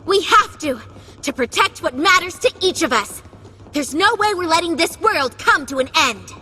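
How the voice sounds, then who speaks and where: A woman's voice: very high-pitched, expressive, moderate pace, bright, forceful tone, faint music behind, full character voice. A woman voicing a spirited, encouraging big-sisterly young heroine in a video game, recorded with long reverb. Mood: resolute. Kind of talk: English video game voice acting.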